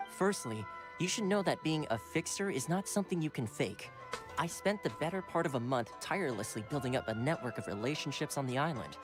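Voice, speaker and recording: A man playing a smooth, animated young retainer. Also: on the high side, lightly acted. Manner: polite, playful, formal phrasing. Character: friendly, suave young male retainer, courteous and smooth-talking. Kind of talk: video game voice acting. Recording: game dialogue over background music.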